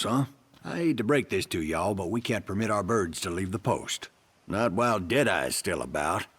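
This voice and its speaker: A plainspoken ranch keeper with a husky, fairly low voice and a Southern American accent. His folksy speech has a lively rise and fall, made for a game cutscene. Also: heavily acted. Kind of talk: video game cutscene acting.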